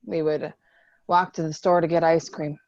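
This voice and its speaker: A woman's voice: deep voice, little pitch movement, normal speed, darkish tone, dull audio. A woman playing a guarded, stubborn, wounded young Marine veteran in a screenplay table read. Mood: wistful, bitter.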